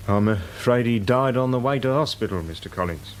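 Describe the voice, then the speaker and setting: An actor playing a methodical police inspector in a radio drama, questioning witnesses in a formal, probing manner. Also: male voice, medium pitch, lively intonation, a little fast, slight background noise, natural voice. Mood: grave, official.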